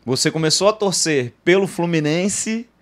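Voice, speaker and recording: Lively-voiced male podcast host. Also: a little high, moderate pace. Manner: short prompts and agreeing interjections. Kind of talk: casual interview talk. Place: home music studio, indoors. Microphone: studio mic on boom arm.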